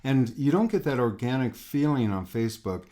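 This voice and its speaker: A man's voice: medium pitch, lively intonation, a little fast, warm tone. A man hosting a podcast interview as an art coach, talkative and often repeating words as he thinks.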